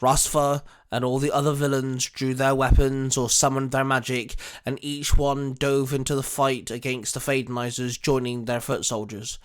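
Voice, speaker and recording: A man's voice: medium pitch, level tone, moderate pace, few pauses, clean tone. A man reading scripted fan fiction as the narrator of an action story.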